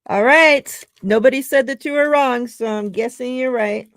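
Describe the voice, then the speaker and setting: A woman's voice: on the high side, normal speed, no room sound, studio quality. A woman hosting a casual live-stream chat, laughing often and reading out giveaway numbers. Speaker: show host.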